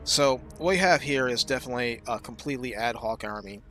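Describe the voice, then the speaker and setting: A man giving casual gameplay commentary, explaining his strategy thoughtfully and often pausing with fillers. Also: mid-range voice, animated, pushed and bright, faint music behind.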